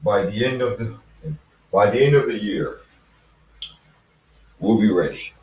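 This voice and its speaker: A man voicing a cold, impatient female villain from a fan fiction story, with slow, menacing delivery. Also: fairly low pitch, darkish tone, slight background noise, close to an everyday voice. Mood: cold scheming. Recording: roomy echo, distant mic.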